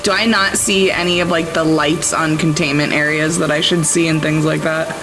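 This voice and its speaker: A woman's voice: low voice, steady tone, normal speed, music in the background, rough recording. A woman playing a tabletop game, casually improvising and describing what her character does. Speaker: tabletop player.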